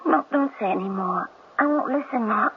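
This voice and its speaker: A woman's voice: a little high, expressive, moderate pace, close to an everyday voice. An actress playing a desperate young woman who pleads with her lover, in 1970s radio drama style. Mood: pleading. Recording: old lo-fi radio broadcast, distant, hissy.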